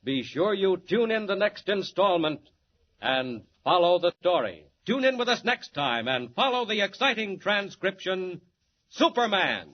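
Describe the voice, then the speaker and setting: A male radio announcer with a fairly high voice delivers dramatic 1940s serial narration. The old recording is muffled, with faint noise. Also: animated, a little slow.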